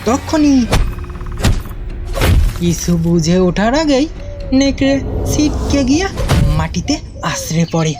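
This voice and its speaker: A woman narrates a children's story with dramatic suspense. Her delivery is unhurried and rises and falls widely. Music sits behind her voice. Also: fairly high pitch, sing-song.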